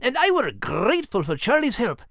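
A man voicing an earnest, grateful Scottish dog in a children's animal story, folksy and animated. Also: extremely high pitch, moderate pace, vocal fry, no echo, studio quality, pitched above the performer's own voice, heavily acted. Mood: folksy, reflective.

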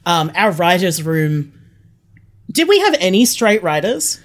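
A woman's voice: on the low side, very animated, measured pace. A woman talking casually as an interview guest, candid and emphatic in making points. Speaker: interview guest, audio drama creator.

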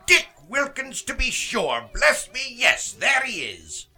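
Give the radio play an elderly man overcome by his memories. He is exclamatory and emotional, with a slow, slightly husky delivery. His pitch swings enormously from word to word. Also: huge pitch swings, pushed and bright, exaggerated voice. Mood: delighted, excited. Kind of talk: theatrical radio play acting.

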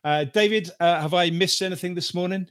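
A man hosting a casual panel podcast, speaking in a halting, hesitant way. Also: high voice, fairly bright tone. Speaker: podcast host.

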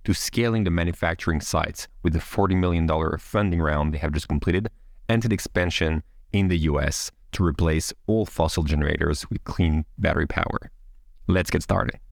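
A man hosting a podcast, reading a scripted introduction and then asking interview questions in measured, formal phrasing. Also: sits low, vocal fry, dry recording, studio quality. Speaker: podcast host.

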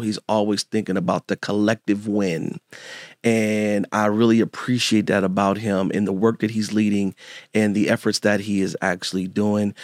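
A man's voice: on the low side, no room sound, studio quality. A man hosting an interview show, encouraging and chatty, often repeating himself with fillers. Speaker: host. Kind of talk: casual interview chat.

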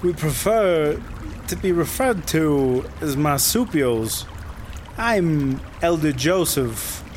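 An actor playing a solemn, pompous possum elder in a sci-fi comedy audio drama, speaking with formal ceremony. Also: male voice, a little high, expressive, takes it slowly, darkish tone, slight background noise. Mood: dignified, firm. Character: solemn male possum elder of an underground sect. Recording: music behind the voice.

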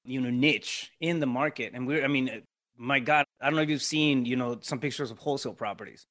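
A man's voice: mid-range voice, fairly quick, fairly bright tone. A man with a Mexican Spanish accent, a native Spanish speaker, talking as a podcast guest and explaining his business step by step with frequent tag questions. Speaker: podcast guest, real estate investor. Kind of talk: casual interview talk.

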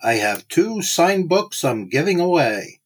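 A man hosts a podcast introduction in a slow, husky voice. His pitch is on the high side as he delivers promotional announcements. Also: sing-song. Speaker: podcast host.

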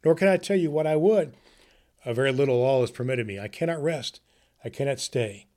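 A man's voice: mid-range voice, fairly quick, a little mellow, lightly acted. A man voicing a mournful ghost bound in chains, reading aloud from a storybook.

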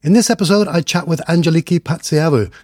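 A man's voice: a little high, quick pace, a little mellow, dry recording, clean studio sound. A man hosting a tech podcast, reading a friendly scripted intro and then asking conversational questions. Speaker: podcast host. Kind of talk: podcast interview.

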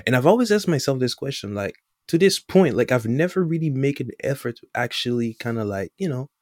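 A man's voice: mid-range voice, big pitch swings, fairly quick, a little mellow, no echo, studio quality. A young man from the Caribbean with a mixed Caribbean and Canadian-sounding accent, chatting on a podcast and rambling with lots of fillers. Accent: Caribbean-Canadian mix. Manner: rambling, heavy on fillers like "like" and "you know". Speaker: podcast co-host. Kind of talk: casual podcast chat.

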